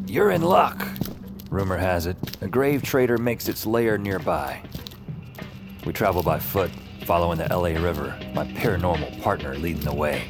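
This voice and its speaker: An actor playing a wry paranormal investigator who narrates an audio drama in deadpan first-person noir style. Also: male voice, low-pitched. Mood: wry, narrating. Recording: music behind the voice.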